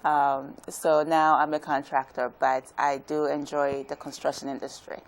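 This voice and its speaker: Soft-spoken low-voiced woman from Ghana. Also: level tone, normal speed, poor audio. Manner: soft-spoken, hesitant, frequent "um"s. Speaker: contractor, guest. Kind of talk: interview answers.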